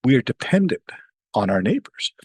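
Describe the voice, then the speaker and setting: A man's voice: medium pitch, big pitch swings, normal speed, a little mellow, slightly rough, no room sound. A middle-aged man from Pakistan, an author and professor, talking animatedly in an interview, often saying "you know" and acting out the voices of others. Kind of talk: interview conversation.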